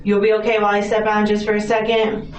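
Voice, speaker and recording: A woman questioning someone as a police interviewer, in a patient, casual, reassuring conversational way, gently prompting. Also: mid-range voice, monotone, quick pace. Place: indoor police interview room. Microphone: distant room recording microphone. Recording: distant, echoey, muffled noisy interrogation recording.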